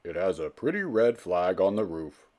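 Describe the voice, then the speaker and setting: A man voicing an eager male bird husband from a children's storybook, earnest and expressive. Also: deep voice, normal speed. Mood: eager, approving.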